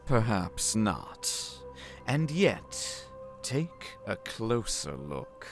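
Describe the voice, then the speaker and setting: An actor playing a sly, theatrical wind spirit in a visual novel, with a teasing, languid, dramatic delivery. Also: male voice, on the low side, very animated, drawn-out words, heavily acted. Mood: smug, intrigued. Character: sly, theatrical male wind spirit, amused elder brother. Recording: music behind the voice. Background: game music.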